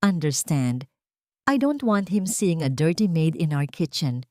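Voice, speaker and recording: A woman's voice: low-pitched, sing-song, measured pace, a little mellow, no echo, studio quality. Woman doing a haughty, cruel bride-to-be. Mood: commanding. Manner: scornful, commanding, mixes English.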